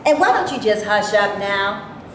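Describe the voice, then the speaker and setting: A woman playing a weary, sharp-tongued actress with a folksy, emphatic delivery in a staged play reading. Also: mid-range voice, sing-song, unhurried. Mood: angry, scolding. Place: indoor room, live staged reading. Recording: echoey room, hissy amateur video.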